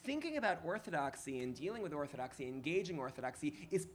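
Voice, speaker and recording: A man from New York whose first language was Hebrew, a podcast guest talking in an animated, casual way full of restarts and fillers. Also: on the high side, fast, slight background noise. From New York, USA. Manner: animated, many restarts and fillers, laughs easily. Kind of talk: casual interview conversation.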